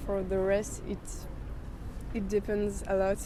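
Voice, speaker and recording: A woman with a French accent giving casual interview answers in a hesitant, pausing way. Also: mid-range voice, monotone. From France. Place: outdoors on a street. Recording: noisy city street with traffic.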